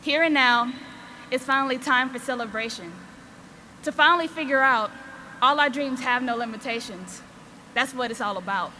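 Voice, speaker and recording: A woman's voice: high voice, moderate pace, bright, forceful tone, slight background noise. A young woman graduating student giving an upbeat, proud scripted speech at a graduation ceremony. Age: young adult.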